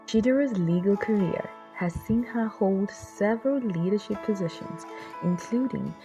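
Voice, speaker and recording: A woman's voice: mid-range voice, normal speed, dark tone, faint music behind, low-quality recording. A woman narrating a scripted promotional introduction video in the manner of a formal biography.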